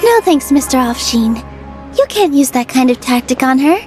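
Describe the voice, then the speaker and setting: Game heroine: young, high-pitched, gentle, polite and modest, music behind. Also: female voice, expressive, normal speed. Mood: kind, explaining. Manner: soft, polite, slightly hesitant. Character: gentle, sweet, modest young female dancer. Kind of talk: English video game voice acting.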